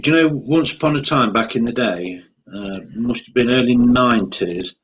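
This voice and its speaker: A man's voice: mid-range voice, moderate pace, fairly bright tone, slightly rough, highs cut off. A man co-hosting a live chat stream, teasing and joking while reading out comments. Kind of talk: casual live-stream banter.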